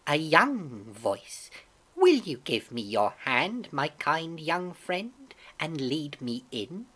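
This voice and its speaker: A woman acts out a blind old beggar man from an adventure storybook. The voice is low and husky, dragging words into a sly sing-song. The manner is cold, sneering and cruel. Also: big pitch swings, takes it slowly, exaggerated voice. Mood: wheedling sing-song. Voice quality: soft-spoken, cold.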